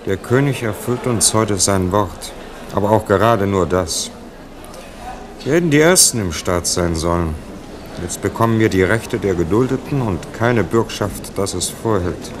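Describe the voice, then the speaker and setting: A man's voice: lowish voice, expressive, unhurried, noisy background. A man playing a disappointed nobleman, complaining soberly and bitterly in a radio drama. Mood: resentful. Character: grudging, disappointed Protestant nobleman. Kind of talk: 1970s German radio drama acting.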